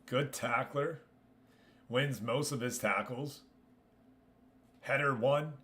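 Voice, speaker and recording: A man's voice: mid-range voice, takes it slowly, sharp tone. A man hosting a gaming livestream, talking casually and chummily to his viewers as he comments. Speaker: livestream host.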